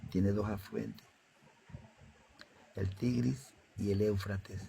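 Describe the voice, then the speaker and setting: Man talking real slow, gravelly low voice, lecturing like an elder. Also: fairly even intonation, mellow, a little hiss or hum. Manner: measured, deliberate lecturing. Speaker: elder Ifá priest guest. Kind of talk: slow teaching talk.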